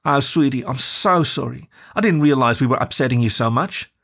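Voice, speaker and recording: A man voicing a gentle, middle-aged mother dog, soothing and apologetic. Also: mid-range voice, moderate pace, creaky, slightly rough, pitched above the performer's own voice.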